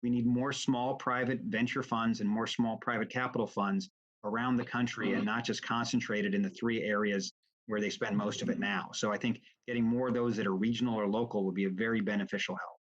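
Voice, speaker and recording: A man giving halting, measured, explanatory testimony off the cuff as an expert witness at a formal remote hearing. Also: on the low side, fairly even intonation. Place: remote hearing over video call. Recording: dull, lo-fi video call audio.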